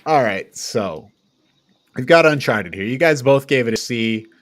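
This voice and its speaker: Male podcast host, lower-middle pitch, relaxed panel review chat, recorded dry. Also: measured pace, no room sound.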